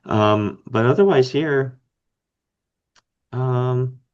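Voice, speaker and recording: A man co-hosting a casual fantasy game podcast, slightly raspy from being under the weather, rambling and thinking aloud with lots of fillers. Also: medium pitch, very slow, muffled recording. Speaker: podcast co-host.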